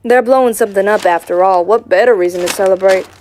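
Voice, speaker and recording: A woman voicing a poised, wry female cat who runs a speakeasy, genteel and unhurried, in a comic dub. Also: medium pitch, darkish tone, slight background noise, close to an everyday voice. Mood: sly, poised. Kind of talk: animated comic dub acting.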